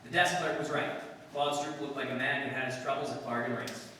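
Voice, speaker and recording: Guy acting deadpan radio cop narrating his case, bright forceful voice, far off in a big echoey hall. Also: medium pitch, fairly quick, a little hiss or hum, natural voice. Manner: terse, deadpan, matter-of-fact. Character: no-nonsense male police detective who narrates his cases. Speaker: amateur radio-play actor. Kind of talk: 1950s police radio drama, clipped narration.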